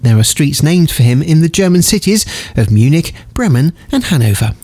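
Guy reading radio news, slightly high voice, lively, dry close sound. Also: normal speed, no echo.

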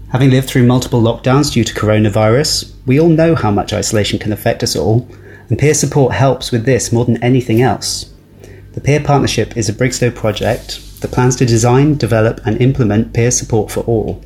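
A man's voice: medium pitch, darkish tone. A man delivering scripted podcast narration as a charity project leader.

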